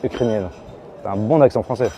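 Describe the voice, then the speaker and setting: A man's voice: on the low side, fairly quick, mellow, a little hiss or hum. A man chatting up a stranger in a metro passageway, flirty and teasing, with casual slang. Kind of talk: casual street chat.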